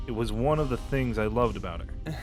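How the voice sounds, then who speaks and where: An actor playing a calm, reflective and sincere spacefarer in an audio roleplay. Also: male voice, mid-range voice, a little fast, faint music behind, lightly acted. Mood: sincere, quiet.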